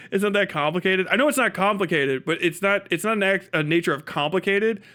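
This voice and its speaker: An American man with a notably high voice talks like a livestream host reacting off the cuff. His delivery is crude and mocking, full of casual swearing, and it wanders as he goes. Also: lively intonation, brisk, pushed and bright. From United States. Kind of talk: casual profane commentary.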